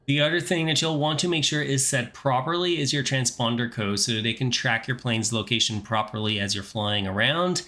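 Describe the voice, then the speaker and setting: A man's voice: medium pitch, fairly quick, hardly any pauses, sharp tone. A man narrating an explanatory tutorial as an instructor, explaining things step by step. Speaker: flight simulator instructor.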